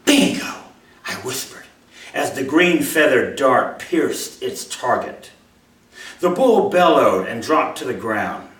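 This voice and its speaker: A man's voice: mid-range voice, very animated, slow, a bit bright. Echoey man with swooping delivery. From Mobile, Alabama, USA. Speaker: writer reading his own short story. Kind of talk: live story reading to an audience, expressive. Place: indoor room at a live reading event. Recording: roomy, echoey hall, distant microphone.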